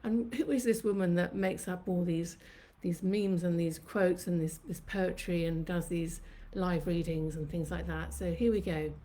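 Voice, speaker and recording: A woman's voice: fairly low pitch, steady tone, moderate pace, few pauses, dark tone. A woman in her fifties, from Sri Lanka, hosting her own live stream from her kitchen and telling her life story in a chatty, reflective way. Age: 50s. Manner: chatty and reflective, reminiscing at length. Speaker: host of her own channel. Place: home kitchen.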